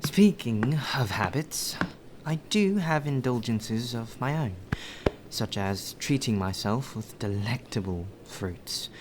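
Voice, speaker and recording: Man playing a slow, softly formal butler. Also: medium pitch, animated, background noise. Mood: gently chiding, refined. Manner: formal, deferential, measured, sighing. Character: polite, formal, servile male butler, privately fussy. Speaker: voice actor in audio roleplay. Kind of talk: soft ASMR roleplay acting.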